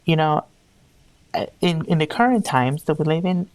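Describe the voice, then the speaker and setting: A woman's voice: deep voice, expressive, normal speed, darkish tone, no room sound. A woman from Peru, an HR executive speaking earnestly as an interview guest, often restarting her sentences. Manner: earnest, searching for words with restarts. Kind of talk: conversational interview.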